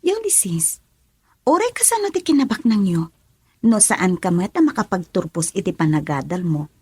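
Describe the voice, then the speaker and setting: A woman's voice: lowish voice, big pitch swings, moderate pace, a little mellow, slightly rough, dry recording. A woman playing an earnest young student daughter in a radio drama. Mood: reproachful. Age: young adult. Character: young female student daughter, earnest, evasive with her mother. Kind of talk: Ilocano radio drama acting.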